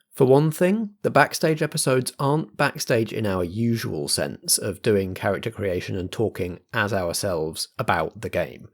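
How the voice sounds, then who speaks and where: Gravelly lowish guy compering a show, chatty theatrical luvvie thing, reading a scripted intro. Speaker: host, compere.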